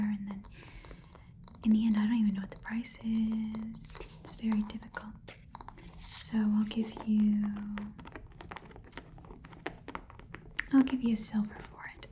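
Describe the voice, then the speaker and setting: A woman voicing a chatty, haggling goblin pawn shop keeper in a breathy whispered ASMR roleplay, with casual muttered asides. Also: mid-range voice, warm tone, slight background noise, natural voice. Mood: skeptical, musing.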